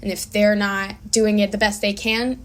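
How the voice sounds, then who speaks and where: A woman's voice: medium pitch, level tone, moderate pace. A woman giving earnest, emphatic answers in a casual interview as a guest actor and filmmaker.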